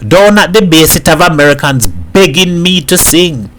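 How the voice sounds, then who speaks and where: A proud Trinidadian show-off from a storybook , played by a man narrating. His voice sits fairly high and he puts his words across emphatically and boastfully. He likes to sound American, though the island is plain in his speech. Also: sing-song, moderate pace, fairly bright tone. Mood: indignant, boastful.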